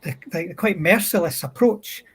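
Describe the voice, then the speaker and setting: A man on a discussion panel explaining military history in a thoughtful, halting way, stretching out words. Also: sits high, lively intonation, fairly bright tone, breathy edge. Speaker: panel speaker.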